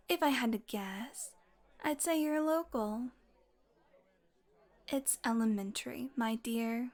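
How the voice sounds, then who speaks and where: A woman's voice: fairly high pitch, lightly acted. A woman playing a sardonic, flirtatious female vampire in an intimate audio roleplay, with a sarcastic, playful, casually profane way of talking.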